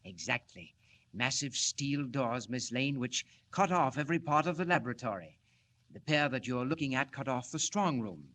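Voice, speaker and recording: An actor playing an earnest, secretive scientist who explains formally and deliberately in 1940s radio style. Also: male voice, mid-range voice, lively intonation, fairly bright tone, a little hiss or hum, close to an everyday voice. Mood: assured, explanatory. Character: earnest, proud, secretive male scientist inventor.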